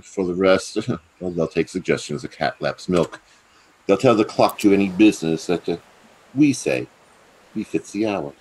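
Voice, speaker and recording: An actor playing a scheming, treacherous usurping duke in a Shakespearean radio play, theatrical and insinuating. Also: male voice, sits low, lively intonation, moderate pace, darkish tone, a little hiss or hum, low-quality recording. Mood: coldly plotting.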